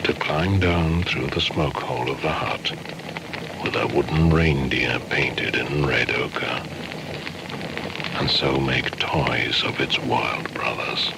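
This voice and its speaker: An actor playing a solemn storyteller, narrating ancient myth in deliberate poetic verse for a radio drama. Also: male voice, very deep voice, steady tone, creaky, noisy background, heavily acted. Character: grave male storyteller of an ancient midwinter myth. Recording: loud music underneath.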